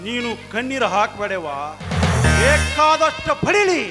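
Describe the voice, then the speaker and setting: A man's voice: very high-pitched, animated, measured pace, loud music behind the voice. A man playing a brave beaten son. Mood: consoling. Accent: North Karnataka Kannada. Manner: pleading, consoling, calls out loudly. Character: young jailed son, beaten but brave. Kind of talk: stage drama acting.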